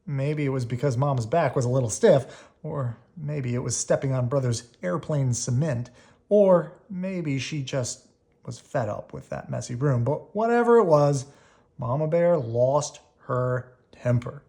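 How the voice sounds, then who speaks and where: Someone reading a kids book out loud, super animated, stretches the big words, medium pitch. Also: gravelly. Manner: animated, drawn-out emphasis on key words. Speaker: storybook reader. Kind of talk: expressive children's read-aloud.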